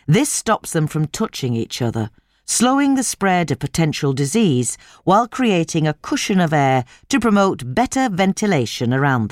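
Female narrator, very low register, lively melodic reading of scripted practical advice, dry sound. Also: no echo. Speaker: narrator reading a gardening article.

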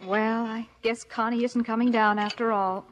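A somewhat high voice for an unruffled wife and mother acted by a woman. She is dry and wry, with an American accent, in the manner of a 1950s radio comedy. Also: level tone, moderate pace, loud music behind the voice, lightly acted. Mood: composed.

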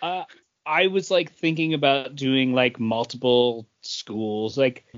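A man with an average-pitched voice runs a casual trivia quiz as a podcast co-host. He speaks haltingly and often doubles back on his words, and the audio is dull and lo-fi. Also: fairly even intonation. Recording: dull, band-limited lo-fi audio.

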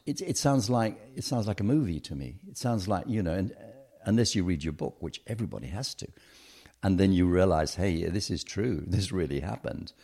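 A man's voice: low voice, mellow. A middle-aged man as a podcast guest, talking thoughtfully about his upbringing with frequent hesitations. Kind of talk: reflective interview conversation.

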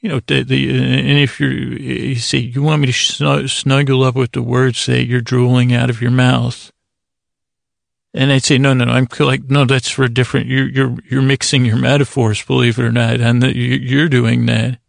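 Very gravelly, flat-toned man rambling. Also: medium pitch, dry recording, clean studio sound. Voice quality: gravelly, creaky voice. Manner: meandering, halting, goofy, self-interrupting. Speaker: podcast host. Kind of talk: rambling bedtime monologue.

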